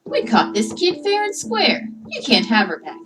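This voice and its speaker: A woman reading a children's storybook, voicing a big, smug fish with a forceful, demanding manner. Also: a little high, huge pitch swings, moderate pace. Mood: smug, defiant. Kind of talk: children's storybook read-aloud.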